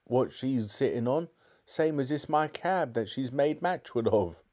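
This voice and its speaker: A man's voice: medium pitch, animated, unhurried, a little mellow, pitched above the performer's own voice. A man voicing a red-faced Cockney cab driver in a storybook reading. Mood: earnest.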